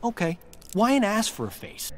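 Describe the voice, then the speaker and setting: Irreverent, lively cocky fighter. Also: male voice, sits high, moderate pace, noisy background. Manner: dismissive, mocking. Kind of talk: video game character acting.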